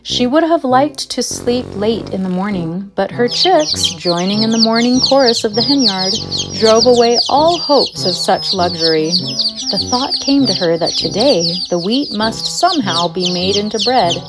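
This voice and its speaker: A woman narrating a scripted children's fairytale with an expressive storybook delivery. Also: mid-range voice, normal speed, poor audio. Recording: music behind the narration. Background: music.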